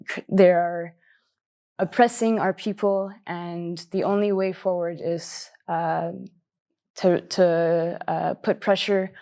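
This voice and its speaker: A woman's voice: on the low side, mellow. A woman of twenty-five from Canada, speaking as a panelist in a studio discussion, with many fillers and restarts.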